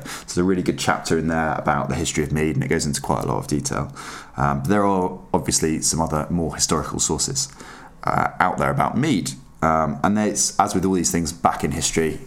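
A man whose cold drops his already very low voice even deeper. He explains at length in a loose, chatty podcast manner, full of fillers and restarts. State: under the weather, voice deeper than usual. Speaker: podcast guest, mead maker. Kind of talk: casual podcast chat.

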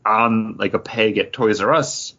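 A man's voice: fairly low pitch, measured pace, bright, forceful tone, muffled recording. A man chatting casually as a podcast host, rambling and peppering his talk with "like.". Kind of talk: casual comic book review chat.

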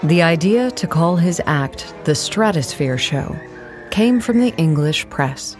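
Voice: mid-range voice, animated, a little slow, soft background music, lightly acted. A narrator reading a scripted documentary account for an audio play in a formal, factual manner.

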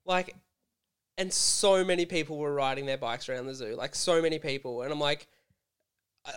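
Animated, slightly hoarse Australian man. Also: on the high side, moderate pace. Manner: animated storyteller, swears casually. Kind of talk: casual chat.

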